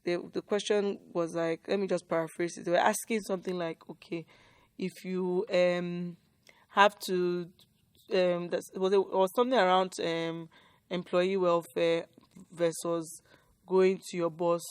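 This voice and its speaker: Low-pitched Nigerian woman giving advice. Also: level tone, measured pace, smooth, no echo. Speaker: HR professional and talk co-host. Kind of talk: casual conversational advice.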